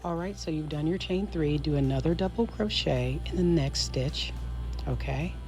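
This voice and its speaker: A woman's voice: low voice, normal speed, over music. A woman who is losing her voice, patiently teaching a crochet pattern step by step, repeating each step and counting stitches aloud. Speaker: crochet instructor. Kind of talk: step-by-step tutorial.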